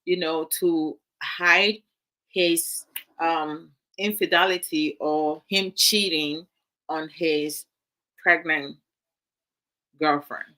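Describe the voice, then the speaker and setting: A woman's voice: deep voice, fairly even intonation, drawn-out words, long pauses, bright, forceful tone, rough recording. A woman from Ghana, giving casual commentary to her live viewers in a musing, hesitant way with many fillers. Her is Twi. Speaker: vlog host.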